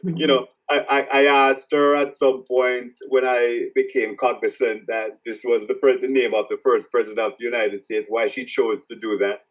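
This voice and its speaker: A man from Jamaica with a Jamaican accent, an author answering interview questions in a reflective, halting way that repeats words as he starts each thought. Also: medium pitch, level tone, recorded from a distance, low-quality recording. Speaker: author guest.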